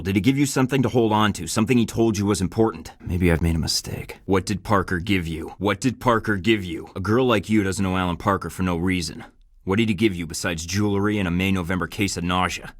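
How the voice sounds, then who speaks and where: A deep-voiced, cocky American spy. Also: male voice, no room sound, clean studio sound. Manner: wisecracking, flirty and menacing by turns. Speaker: game protagonist. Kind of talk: video game voice acting.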